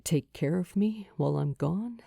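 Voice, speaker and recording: A woman voicing a tender, lovestruck teenage boy in a story, hesitant and hushed. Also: lowish voice, animated, normal speed, vocal fry, husky, no room sound, studio quality, heavily acted. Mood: soft, wistful. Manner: stammering, hushed.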